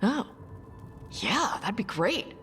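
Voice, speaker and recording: A woman playing a blunt, brash young warrior with fire magic, talking gruffly and casually in a video game cutscene. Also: a little high, sing-song, slow, fairly bright tone, faint music behind, exaggerated voice. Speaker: party companion.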